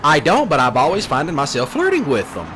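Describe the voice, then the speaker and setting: A man with a fairly high, very animated voice rants casually as he hosts a gaming video. His talk is folksy and teasing, wandering from point to point. Vehicle noise from a game runs clearly behind him. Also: a little fast. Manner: folksy, teasing, mocks others in put-on quoted voices. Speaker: gaming channel host. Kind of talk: casual rambling rant over gameplay. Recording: clear background noise, car engine sounds. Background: vehicle sounds from a driving video game.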